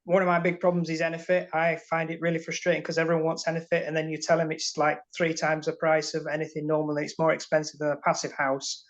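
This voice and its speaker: A man's voice: on the high side, monotone, fast, few pauses. A man, a builder, talking earnestly and rambling a bit about his frustrations on a webinar video call. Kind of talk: casual chat on a webinar call. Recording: muffled video call audio.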